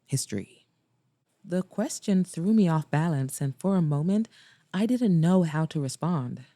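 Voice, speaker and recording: Low, dark, velvety woman narrator. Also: expressive, moderate pace, no echo, clean studio sound. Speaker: audiobook narrator. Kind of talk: scripted first-person romance narration.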